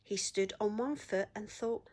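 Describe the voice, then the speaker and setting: A woman reading a children's story aloud in an expressive storytelling manner. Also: medium pitch, measured pace.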